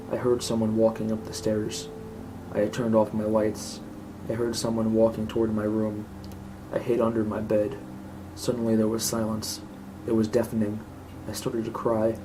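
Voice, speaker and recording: A man's voice: fairly low pitch, completely flat delivery, normal speed. A man reading a scripted horror story aloud as narrator. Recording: clearly noisy home recording.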